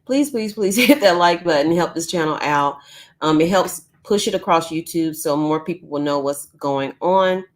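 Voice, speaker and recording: A woman's voice: sits low, moderate pace. A woman hosting a casual live news stream from home, chatty with frequent ums, who is losing her voice. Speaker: YouTube news channel host.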